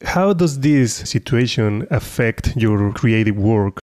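A man's voice: fairly low pitch, animated, takes it slowly, a little mellow. A man hosting a podcast, reading a formal introduction and asking interview questions in a polite way. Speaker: podcast host.

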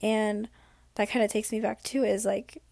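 A sick young woman who is losing her voice talks in a rambling, reflective way in a late-night personal vlog. She is hoarse and sometimes drops into a whisper. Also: medium pitch, steady tone, moderate pace, a little mellow, dry recording. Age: young adult. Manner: rambling, reflective, many fillers.

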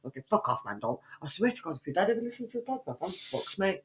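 A British woman with a stuffy, congested voice trades crude, swear-filled banter with a friend. Her pitch swings widely from line to line as she teases and shouts. Her delivery stays loud and playful. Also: sing-song, quick pace. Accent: British English. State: congested with a cold. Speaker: podcast co-host.

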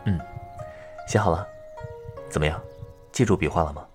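A man playing a gentle, caring young village teacher of ancient China in a period romance audio drama, tender, patient and unhurried. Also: sits low, soft background music, lightly acted.

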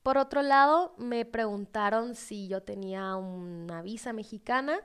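A woman's voice: a little high, a little slow, smooth. A woman in her twenties with a Guatemalan accent, hosting a podcast with casual storytelling in a chatty, playful manner. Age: 20s. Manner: chatty, playful, acts out dialogue in anecdotes. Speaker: podcast host.

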